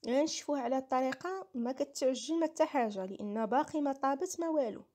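Moroccan lady doing a casual cooking voiceover, high mellow voice, explains stuff step by step. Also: quick pace. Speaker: home cooking vlogger. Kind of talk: casual recipe voice-over.

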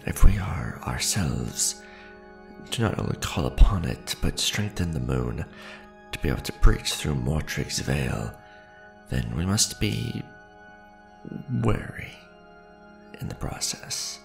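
A man voicing an ancient vampire lord in an ASMR roleplay, courtly and devoted yet ruthlessly ambitious, speaking formally and grandly in deliberate phrases with a gravelly voice. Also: sing-song, music in the background, full character voice. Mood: commanding, cautioning. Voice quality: deep, gravelly.

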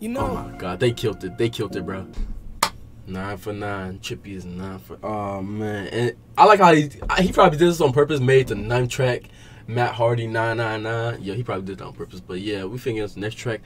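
A man's voice: lowish voice, brisk. A young man hosting a music reaction, talking casually in an exclamatory, repetitive, slangy way. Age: young adult. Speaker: music reaction video host. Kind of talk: casual excited album reaction. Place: small home room with acoustic foam panels. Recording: music playing in the background.

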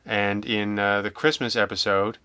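Bright, clear-voiced man chatting haltingly. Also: lowish voice, flat delivery, no echo. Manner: hesitant, halting, rambling around his thoughts. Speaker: audio drama creator, interview guest. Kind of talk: casual podcast conversation.

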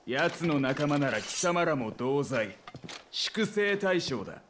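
Arrogant cold soldier, fairly high pitch, sneering, deliberate threats, game villain, slight noise behind. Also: male voice, animated, a bit bright. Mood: scornful. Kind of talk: Japanese video game villain acting.

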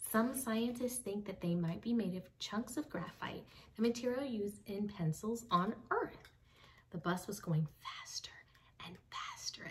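A young woman reading a children's book aloud expressively, with dramatic pauses, addressing young listeners. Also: medium pitch, a little mellow. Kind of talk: expressive read-aloud storytelling. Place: small home room with bookshelves. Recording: slightly distant mic, faint background noise.

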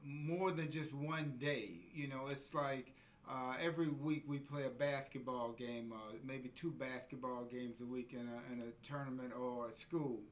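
A man with a voice of middle pitch gives a slow, hesitant interview answer full of fillers. A faint hum of noise sits behind him. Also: steady tone, rough recording. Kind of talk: documentary interview.

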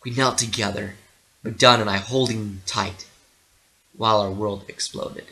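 Deep-toned, forceful male story narrator. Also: fairly even intonation, a little slow, rough recording. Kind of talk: dramatic literary story narration.

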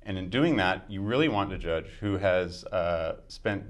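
A man's voice: sits low, expressive, a little slow. A man in his forties, answering interview questions fluently and argumentatively with fillers. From United States. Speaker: judicial candidate. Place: small studio with dark curtains. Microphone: lapel mic.

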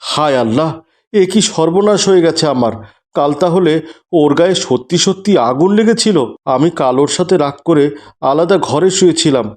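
Cartoon husband and father played by a man: devout, stern, exasperated, slightly high voice, melodramatic. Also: expressive, normal speed, a little mellow, natural voice. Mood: grieving. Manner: scolding, exasperated, pleading.

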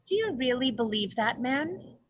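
A woman's voice: sits high, very animated, normal speed. A woman playing a hopeful female orca leader in a play reading, solemn and pleading in her declamation. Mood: earnest, urgent. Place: home room, video call.

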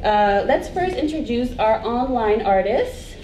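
A woman's voice: fairly high pitch, lively intonation, measured pace, warm tone, a little hiss or hum. Young woman moderating in an echoey hall. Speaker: curator and moderator. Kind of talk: panel moderation. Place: dark theater stage. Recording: echoey hall mic from far off.

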